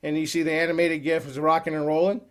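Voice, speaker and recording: A man in his forties giving an upbeat, salesy screen-share walkthrough as a software trainer on a computer call. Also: fairly high pitch, flat delivery, fast.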